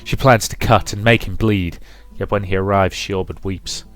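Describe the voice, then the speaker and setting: A man's voice: deep voice, steady tone, normal speed. A man hosting a let's play, reading a visual novel as dramatic, rhythmic narration with some commentary. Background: music.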